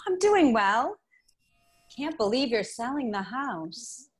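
Lively, friendly neighbour, played by a woman. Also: fairly high pitch, takes it slowly, breathy edge, soft background music, full character voice. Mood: friendly, polite. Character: friendly, upbeat female family friend and neighbour. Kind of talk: radio drama acting.

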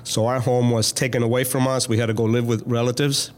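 An older man born in Cuba, a school board president, telling his story in a steady, plain way as a guest on a school podcast. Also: medium pitch, fairly quick. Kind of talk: casual interview.

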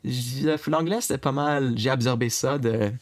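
A young man from eastern Ontario with a Franco-Ontarian accent, talking casually in an interview and often pausing with fillers and restarts. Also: mid-range voice. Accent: Franco-Ontarian French. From Eastern Ontario, Canada. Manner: hesitant, many fillers and restarts. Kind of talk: casual recorded conversation.

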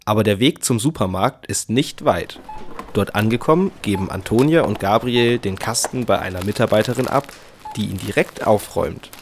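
A man's voice: lowish voice, normal speed, slight background noise. A man narrating a scripted children's story as the storyteller of a radio play.

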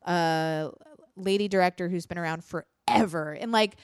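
A woman's voice: on the low side, normal speed, smooth, dry recording, studio quality. A woman in her thirties co-hosting a podcast, chatting casually with some swearing. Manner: chatty, profane, laughs at her own slips. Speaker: podcast co-host. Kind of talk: casual chat.

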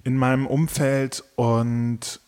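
Ein Mann Ende zwanzig, der im Interview nachdenklich über sein Musikalbum spricht, mit vielen Füllwörtern und Neuansätzen. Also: male voice, medium pitch, flat delivery, slow pace, darkish tone, clean tone. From Germany. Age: late 20s. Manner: thoughtful, many fillers and restarts. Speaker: musician guest. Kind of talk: casual interview talk.

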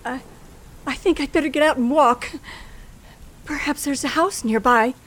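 High-voiced, frightened radio thriller heroine. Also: female voice, moderate pace, a bit bright. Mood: fearful. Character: frightened, tense woman traveler narrating her ordeal. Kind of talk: old-time radio thriller acting. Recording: faintly noisy old radio recording.

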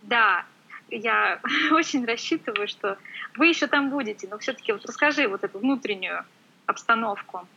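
A woman interviewer poses long, layered questions to her guest. Her voice is husky and breathy yet bright, pitched a little high. The sound is dull and lo-fi, like a remote call. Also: animated, normal speed. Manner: asks long questions, brief affirming murmurs. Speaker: interviewer host.

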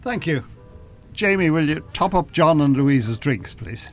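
An actor in an audio drama playing a polite, formal Irish con artist posing as a professor. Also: male voice, on the high side, expressive, measured pace, faint music behind. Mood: smooth, reassuring.

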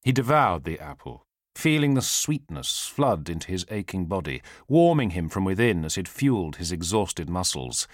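Deep-voiced man narrating a dramatic audiobook. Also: dry recording, clean studio sound. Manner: measured, solemn, suspenseful delivery with weighted pauses.

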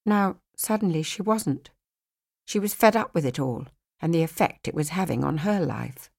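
A woman's voice: low-pitched, animated, normal speed, gravelly, a little mellow. A woman reading a novel aloud as an audiobook narrator in a scripted storytelling style.

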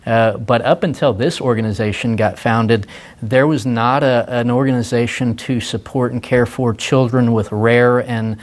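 Guy hosting a chatty interview, laid back, laughs a lot, mid-pitched voice. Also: steady tone, moderate pace. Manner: easygoing, laughs often, asks follow-up questions. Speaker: interview host. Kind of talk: casual interview conversation.

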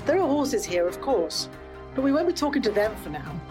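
A woman's voice: fairly high pitch, sing-song, fairly quick, over music. A woman reading scripted mock-documentary narration in a formal, dry manner. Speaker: narrator giving disclaimer.

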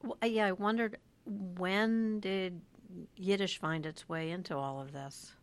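A woman with a mid-range voice speaks as a podcast host. She presents and asks questions in a style between prepared introduction and relaxed conversation. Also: mid-range voice, measured pace. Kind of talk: scripted introduction, then conversational interviewing.

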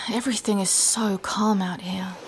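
A woman's voice: medium pitch, steady tone, a little slow, natural voice, low-quality recording. A woman playing a thoughtful young novice adventurer, natural and conversational, in a fantasy audio drama. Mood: uneasy. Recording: noticeable ambient background noise.

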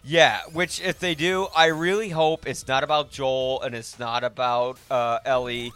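A man's voice: mid-range voice, fairly even intonation, unhurried, a bit bright, smooth. A man hosting a podcast, discussing films casually and conversationally as he thinks aloud. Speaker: podcast host.

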